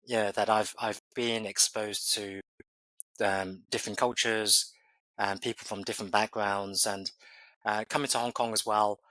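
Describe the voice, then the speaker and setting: A man's voice: lowish voice, little pitch movement, a little slow, sharp tone, no room sound, poor audio. A man in his forties from England, answering interview questions thoughtfully with many fillers and restarts. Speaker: podcast guest.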